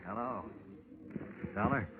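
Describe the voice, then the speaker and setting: A bitter, sardonic ex-convict in a vintage radio crime play. His voice is on the low side and coldly threatening. The recording is tinny, with some hiss. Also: takes it slowly. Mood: dry, guarded. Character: cold, bitter, menacing male ex-convict. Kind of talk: old radio crime drama acting.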